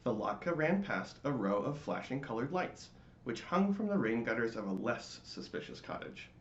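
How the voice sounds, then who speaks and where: A man giving a theatrical, expressive dramatic reading of a storybook. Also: mid-range voice, normal speed. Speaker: storybook reader. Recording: roomy, dull, faintly noisy home recording.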